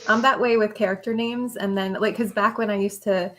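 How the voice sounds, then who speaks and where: A woman's voice: medium pitch, fairly even intonation, a little fast, a bit bright. A woman chatting casually with friends as a guest on a livestream. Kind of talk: casual group chat.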